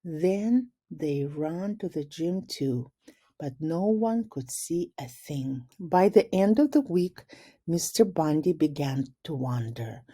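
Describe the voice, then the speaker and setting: Woman, storybook read-aloud, teacherly, slow and deliberate, very mellow tone, simple explanatory asides. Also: low voice, lively intonation, husky. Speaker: teacher reading a picture book aloud.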